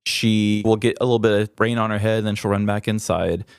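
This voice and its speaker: A man's voice: lowish voice, steady tone, brisk, clean tone, no echo. A man hosting a podcast, telling candid, self-mocking stories in a casual comedic way on a close microphone. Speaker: podcast host.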